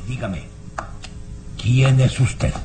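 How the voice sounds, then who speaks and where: An actor playing an arrogant, cold baron in an old radio drama, speaking in a haughty, deliberate way. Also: male voice, medium pitch, animated, background noise. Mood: contemptuous. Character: arrogant, menacing baron of a castle, cold and callous.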